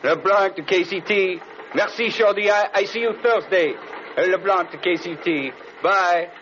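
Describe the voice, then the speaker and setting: An actor playing a chatty, deferential French co-pilot with a French accent in an old radio drama, talking in hesitant questions. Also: male voice, high voice, moderate pace, natural voice. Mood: cheerful, polite. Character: chatty, eager French-speaking airline co-pilot, polite and deferential. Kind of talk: 1940s radio drama acting. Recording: dull, noisy old radio recording, engine drone.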